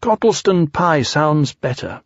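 A man voicing a simple, earnest toy bear in a storybook, speaking in a deliberate, drawn-out way. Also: a little high, sing-song, no echo, muffled recording, voice pushed up, exaggerated voice. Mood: gently hopeful. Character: slow, earnest, honey-loving toy bear.

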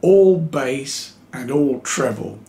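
A man in his seventies talking off the cuff to camera as a hobbyist, explaining things in a wry, halting, dryly sarcastic way. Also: medium pitch, mellow. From New Zealand (lives there). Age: 70s. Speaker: electronics hobbyist video host. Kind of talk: unscripted explanatory talk to camera. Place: small home electronics workroom, indoors.

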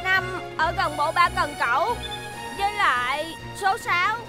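A woman's voice: extremely high pitch, animated, measured pace. A woman voicing a cheeky, boyish young rescue helicopter robot in a cartoon dub. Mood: eager. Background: music.

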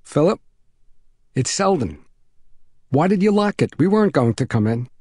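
Man doing a high-pitched, nagging little boy. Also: very animated, normal speed, darkish tone, slightly rough, no room sound, clean studio sound. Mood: insistent. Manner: questioning, insistent. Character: blunt, nagging young neighbour boy.

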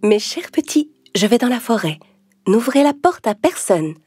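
A woman voicing a caring mother goat giving soft, careful warnings. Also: a little high, sing-song, moderate pace, over music, pitched above the performer's own voice.

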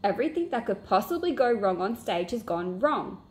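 A woman voicing a defensive, excitable boy from a storybook detective club, with emphatic delivery. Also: mid-range voice, normal speed, natural voice. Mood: excited.

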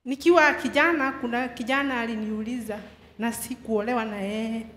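A middle-aged Kenyan woman gives testimony in a high, tense voice. She talks fast in short segments, in a reverberant hall. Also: steady tone, fairly bright tone. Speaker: congregant giving testimony. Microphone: handheld mic held to her mouth. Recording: echoey noisy hall.

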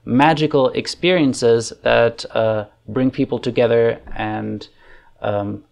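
A man in his thirties with a German accent, chatting casually through a screen-share software tutorial from a room at home into a desk microphone, thinking aloud with fillers. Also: mid-range voice, creaky. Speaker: online facilitation trainer. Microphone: desk microphone on arm.

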